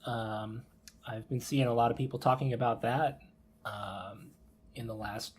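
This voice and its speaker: A man with a husky voice hosts a podcast. He talks through news items casually, feeling his way along and often qualifying what he says. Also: mid-range voice, fairly even intonation, unhurried. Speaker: podcast host.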